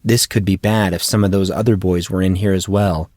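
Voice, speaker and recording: A man with a fairly low voice narrates a fantasy audiobook. The recording is dry and close, with very little room in it, and he reads prepared prose as a storyteller. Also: steady tone, fairly quick, a little mellow, no room sound. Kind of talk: scripted fiction narration.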